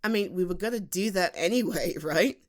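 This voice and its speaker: A British woman playing a playful, affectionate best friend in an intimate audio roleplay, speaking in a halting, giggly, conversational way. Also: lowish voice, animated, natural voice. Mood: earnest, playful. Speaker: ASMR roleplay voice performer. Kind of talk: intimate, naturalistic audio roleplay addressed to the listener.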